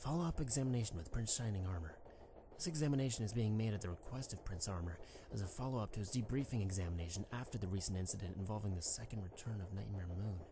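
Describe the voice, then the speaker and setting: A woman's voice: extremely low pitch, quick pace. A woman playing a young pony prince and fond husband, a protective worrier, conversational and earnest in an amateur audio drama. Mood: muttered reading. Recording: slightly noisy amateur microphone recording.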